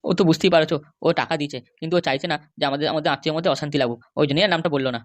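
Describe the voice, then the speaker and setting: A man's voice: fairly high pitch, racing, no echo, lightly acted. Man doing a rattling, henpecked cartoon husband. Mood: earnest. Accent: West Bengal. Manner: wheedling, grumbling. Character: henpecked, pleading middle-aged husband, small-time politician. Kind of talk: comic cartoon dialogue.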